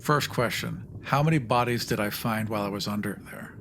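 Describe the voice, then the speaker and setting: A man's voice: on the low side, fairly quick, noisy background. A man playing a tabletop game, casually describing what his character does.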